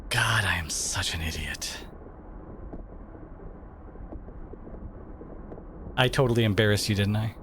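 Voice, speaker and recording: A man playing a devoted, protective boyfriend in a dramatic roleplay audio, speaking emphatically with sarcastic edges and dramatic pauses. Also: on the low side, a bit bright, slight background noise, natural voice. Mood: apologetic, upset.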